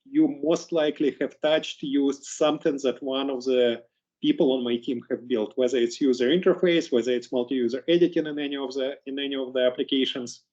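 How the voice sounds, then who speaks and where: A man's voice: mid-range voice, fairly even intonation, a little mellow. Russian-accented male keynote speaker, dull lo-fi audio, hesitant and repetitive phrasing. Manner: halting, repeats words often. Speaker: keynote speaker, engineering executive. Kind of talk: keynote talk.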